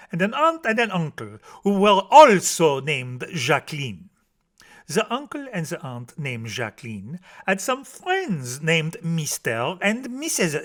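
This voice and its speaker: A storyteller playing an easygoing father who tells silly tales in a deliberate, repetitive way. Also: medium pitch, a bit bright.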